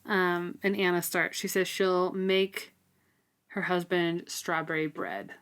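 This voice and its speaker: A woman with a slightly low voice talks in a loose, conversational way as a podcast co-host. She discusses a book informally, dropping "like" often as she goes. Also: little pitch movement, slow, fairly bright tone, clear, resonant tone. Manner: informal, frequent "like" as filler. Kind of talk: casual book discussion chat.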